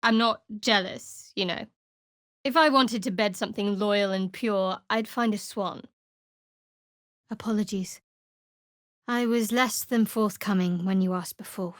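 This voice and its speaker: A woman voicing a guarded, wry young female cleric of a dark goddess in a fantasy video game, speaking in a measured, dry, sardonic way. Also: medium pitch, no echo, close to an everyday voice.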